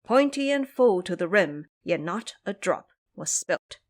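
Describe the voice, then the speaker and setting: A woman's voice: on the low side, sing-song, a little slow. A woman narrating a scripted fantasy story in a dramatic storytelling style.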